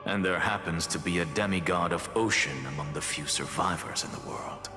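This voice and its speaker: An actor voicing an arrogant, theatrical demigod of reason in a game, speaking in measured, articulate, haughty lines. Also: male voice, low voice, close to an everyday voice. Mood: resolute. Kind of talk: dramatic game dialogue. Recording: reverberant hall with music underneath.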